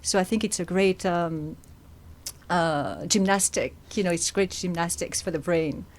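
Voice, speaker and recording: Lower-voiced French-born woman guest. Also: measured pace. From France. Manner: lively, laughs easily, many fillers. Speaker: guest, poet and actor. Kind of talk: interview answers.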